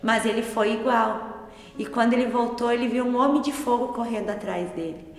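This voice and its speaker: A woman's voice: fairly high pitch, normal speed. A woman in her thirties with a southern Brazilian accent, telling things casually as a student interviewee. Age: 30s. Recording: echoey hall, music underneath.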